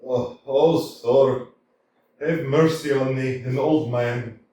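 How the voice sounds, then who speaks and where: A man's voice: medium pitch, steady tone, slow, dark tone, slight background noise, close to an everyday voice. A man voicing a frightened, feeble old male servant who wheezes and pleads, in a staged reading of a play. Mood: pleading. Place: small community theater. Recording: echoey room, far from mic.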